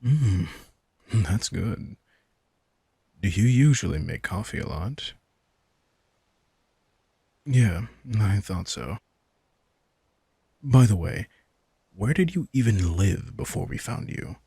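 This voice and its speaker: A man playing a low, husky, smug vampire king. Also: lively intonation, takes it slowly, long pauses, mellow, no room sound, clean studio sound, heavily acted. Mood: relaxed, curious. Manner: laid-back, wry, teasing, informal swearing. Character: sardonic, casual young-sounding male vampire king, smug and manipulative. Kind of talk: intimate audio roleplay, addressing the listener.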